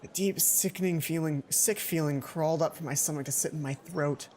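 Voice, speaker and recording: A young adult man reading a visual novel aloud as a let's play host, expressive, often correcting himself and dropping in casual commentary. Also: fairly high pitch, a little fast, slight background noise. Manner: expressive, self-correcting reader. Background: game music.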